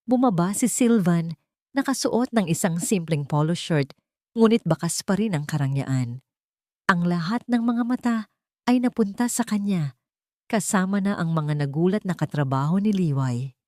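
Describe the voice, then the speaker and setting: Female Filipino storyteller, lower register, dry studio sound, dramatic audiobook narration. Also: lively intonation, normal speed, a little mellow, no echo.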